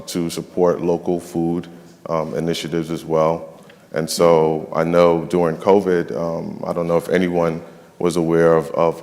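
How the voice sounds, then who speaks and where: A man's voice: low-pitched, darkish tone, in a large hall. A young man from the Bahamas, a nonprofit finance and facilities staffer, speaking on a summit panel with many ums and restarts. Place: large event hall.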